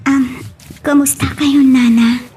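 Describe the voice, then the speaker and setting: Actress playing a high, slow-speaking shy girlfriend. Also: flat delivery, mellow, slightly rough, heavily acted. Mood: hesitant, polite. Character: shy, hesitant young woman in love. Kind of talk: radio-style drama acting. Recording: faint background noise.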